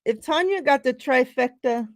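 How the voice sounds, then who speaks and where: A woman's voice: sits high, expressive, moderate pace, no echo, clean studio sound. A woman hosting a casual live-stream chat, laughing often and reading out giveaway numbers. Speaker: show host.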